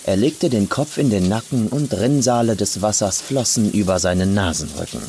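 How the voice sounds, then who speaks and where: A man reading a scripted horror story with atmospheric, deliberate delivery. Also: fairly low pitch, mellow, a little hiss or hum. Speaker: professional voice actor, audiobook reader. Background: atmospheric music.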